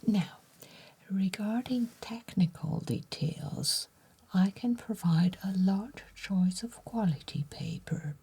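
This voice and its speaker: A woman playing a courteous, attentive letter writer in an ASMR roleplay, speaking in a soft, breathy whisper with gentle, formal deliberation. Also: mid-range voice, slow, full character voice.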